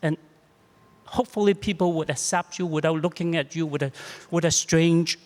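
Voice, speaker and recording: A man in his sixties with a Chinese accent, a clinician on a panel, speaking in a halting, humble and reflective way, often repeating words. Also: fairly high pitch, long reverb tail. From China. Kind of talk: panel discussion.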